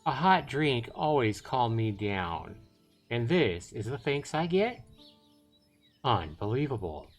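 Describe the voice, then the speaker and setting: A man's voice: medium pitch, sing-song, slow, soft background music, exaggerated voice. A man voicing a brusque, skeptical, scolding aunt in a dramatized fantasy visual novel reading. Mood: huffy.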